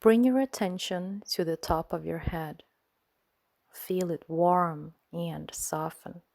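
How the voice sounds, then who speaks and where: A woman leading a guided sleep meditation in a soothing, gentle manner, speaking in short phrases with unhurried pauses. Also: lowish voice, gravelly.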